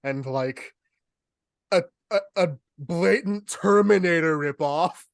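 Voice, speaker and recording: A man's voice: on the high side, takes it slowly, vocal fry, no room sound, clean studio sound. A young man co-hosting a podcast, telling stories in casual, humorous chat. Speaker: podcast co-host.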